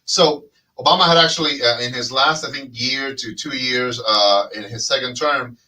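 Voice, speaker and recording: A man's voice: mid-range voice, moderate pace, pushed and bright, far from the mic, poor audio. A man hosting a casual chat, talking in a rambling way with many fillers and restarts. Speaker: podcast host.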